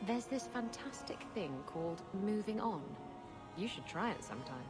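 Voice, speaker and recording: A woman's voice: medium pitch, sing-song, moderate pace, natural voice. An actress playing an unrepentant, swaggering rogue woman in a video game, breezy and sarcastic. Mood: sarcastic, defiant. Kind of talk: dramatic video game acting. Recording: long hall reverb, music behind.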